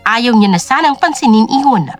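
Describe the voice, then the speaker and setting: A woman narrates a scripted drama as storyteller. Her voice is very high and widely varied in melody, with faint music playing underneath. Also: expressive, normal speed.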